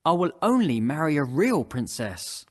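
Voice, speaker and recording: A man's voice: fairly high pitch, sing-song, normal speed, dry recording, clean studio sound. A man playing a fussy young fairy-tale prince who complains in a picky manner. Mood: earnest, dejected. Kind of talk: acted children's fairy-tale dialogue. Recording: music behind the voice.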